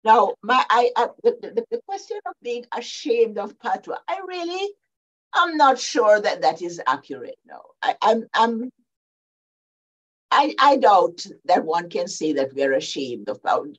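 An older Jamaican woman speaking as a panelist over a video call from her home study, deliberate and explanatory. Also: mid-range voice. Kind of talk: measured panel talk. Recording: dull lo-fi video call audio.

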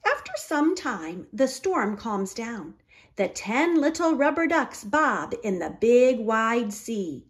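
A middle-aged woman reading a picture book aloud to young children, deliberate and expressive. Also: on the high side. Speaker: children's library storyteller.

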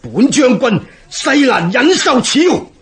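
An actor playing an indignant, excitable general in a historical radio drama, declaiming with a husky voice. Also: male voice, very high voice, measured pace, full character voice. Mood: outraged. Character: blustering, indignant turncoat army general.

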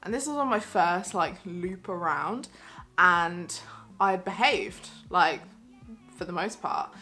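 A young woman in her twenties, talking to the camera in a small bedroom. She chats away casually and excitedly, with frequent giggles and plenty of fillers as she shows off her purchases. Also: on the low side, slow pace. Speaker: vlogger. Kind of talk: casual haul vlog.

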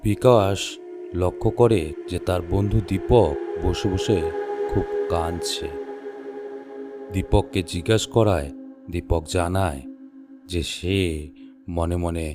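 A man narrating a scripted horror story in measured, suspenseful short phrases. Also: deep voice, music in the background.

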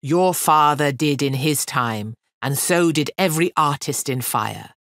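A huge fire fiend speaks with slow, booming scorn, as a woman reader puts him on. His voice sits low and drags each word out. The storybook recording is close and dry. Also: lively intonation, no echo, exaggerated voice. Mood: commanding. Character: huge roaring male fire fiend, mocking. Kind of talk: storybook reading.

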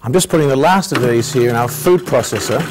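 A man's voice: mid-range voice, fast, noisy background. A man hosting a cooking show, talking the viewer step by step through a recipe. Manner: friendly step-by-step instructions. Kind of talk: cooking demonstration.

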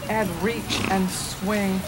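A woman's voice: medium pitch, flat delivery, slow. A woman judging dressage, dictating short, clipped comments and scores outdoors. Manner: terse, clipped remarks. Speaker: dressage judge. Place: outdoors beside a dressage ring. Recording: distant voice under loud outdoor noise.

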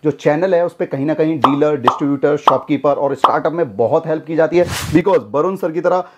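Brief: young man, quick and high-pitched, upbeat salesy host, lapel mic, faint background hiss. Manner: energetic, salesy. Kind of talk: promotional product chat. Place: warehouse and outdoor lot.

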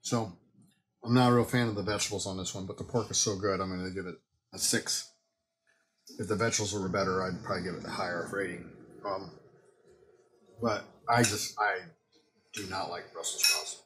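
A man in his forties, host of a home show, chatting casually in his kitchen while tasting a meal, his voice relaxed and trailing off quietly at times. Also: low-pitched, brisk, pauses often, low-quality recording. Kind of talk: casual food review.

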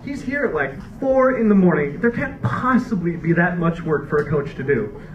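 A man's voice: high voice, big pitch swings, moderate pace, slight background noise. A young man performing a stand-up comedy set on a theater stage, rambling and wry with frequent restarts, into a handheld mic. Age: young adult. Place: dark theater stage before a laughing audience. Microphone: handheld stage mic, recorded from a far camera. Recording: roomy, distant, dull hall recording with crowd laughter.

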